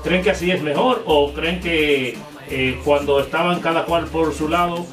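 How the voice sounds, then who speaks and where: A man's voice: fairly high pitch, moderate pace, fairly bright tone, faint music behind. A man hosting a casual radio interview in a small studio, asking questions hesitantly with many fillers. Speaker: radio show host. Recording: echoey room, mic far off.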